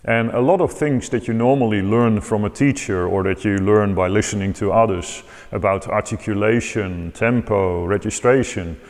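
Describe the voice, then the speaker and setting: A man in his forties from the Netherlands with a Dutch accent, calmly explaining how to learn organ improvisation, seated in a large church and filmed from a distance. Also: on the low side. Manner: measured, thoughtful, gestures with hands while explaining. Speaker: organ teacher. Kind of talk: calm explanatory talk to camera. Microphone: far camera, seated at a table. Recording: long church reverb.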